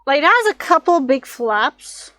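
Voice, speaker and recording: A woman streamer with a slightly raspy voice, rambling and thinking aloud as she draws on a casual livestream. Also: sits high, big pitch swings, unhurried, bright, forceful tone, no room sound. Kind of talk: casual drawing livestream chat.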